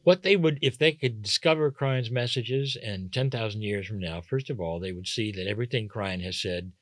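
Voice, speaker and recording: Casting: older man guest, animated, emphatic, chuckling, dry close sound. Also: lowish voice, normal speed, no room sound. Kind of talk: casual interview talk.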